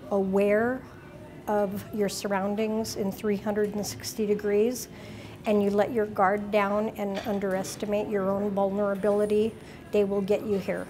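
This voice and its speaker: A woman's voice: mid-range voice, level tone, measured pace, mellow, a little hiss or hum. A woman giving a warning as a safety advocate.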